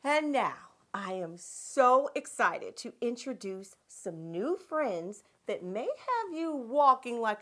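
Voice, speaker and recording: A woman's voice: mid-range voice, a bit bright. A woman hosting a children's read-aloud show, talking straight to young viewers in a sing-song manner.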